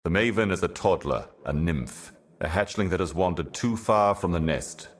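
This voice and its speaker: Casting: ancient cryptic cosmic being, fantasy game. Bottomless bass, solemn, portentous, measured prophet of doom. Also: male voice, lively intonation. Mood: stern warning. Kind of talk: theatrical fantasy game monologue.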